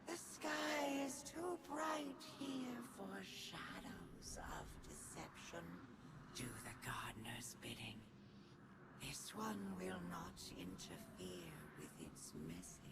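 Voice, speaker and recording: Game role: devout alien sorceress, low breathy creak, unhurried and ceremonial, loud ambience beneath. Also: female voice, wildly swooping pitch, heavy background noise, heavily acted. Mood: solemn, cryptic. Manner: slow, ceremonial third-person speech. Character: solemn alien hive wizard, female, cryptic and devout. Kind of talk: video game voice acting.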